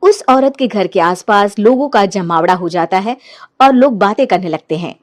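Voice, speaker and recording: A woman narrating a scripted animated moral story as a storyteller. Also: medium pitch, moderate pace.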